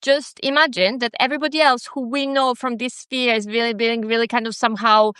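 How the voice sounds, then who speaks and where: A woman's voice: a little high, fairly even intonation, brisk, fairly bright tone, clear, resonant tone, no echo, studio quality. A woman hosting a conversational interview, hesitant and hedging, with many fillers. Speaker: podcast host.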